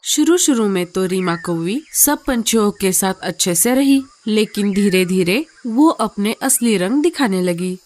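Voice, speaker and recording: A woman giving scripted storybook narration for an animated tale. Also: mid-range voice, measured pace, hardly any pauses.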